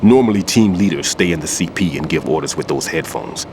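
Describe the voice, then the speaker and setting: An actor playing a seasoned older male bomb expert and wary mentor with a measured, grave delivery. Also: extremely low pitch, expressive, noisy background. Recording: game cutscene with music.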